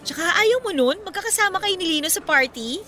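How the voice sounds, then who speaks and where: An actress playing a chatty, concerned young best friend in a radio drama, speaking expressively and with sympathy. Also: female voice, very high-pitched, expressive, a little fast, bright, forceful tone, soft background music, full character voice. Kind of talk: Filipino radio drama dialogue.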